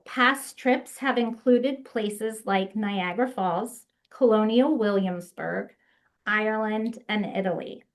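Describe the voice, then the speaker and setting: A woman presenting on a video call, reading prepared notes at an unhurried pace with occasional fillers. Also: mid-range voice. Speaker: association staff presenter. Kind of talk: webinar presentation.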